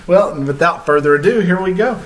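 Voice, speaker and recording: A man's voice: sits high, brisk. A man in his thirties hosting a children's read-aloud with friendly, casual talk, recorded indoors. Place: small living room, indoors. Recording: roomy indoor echo.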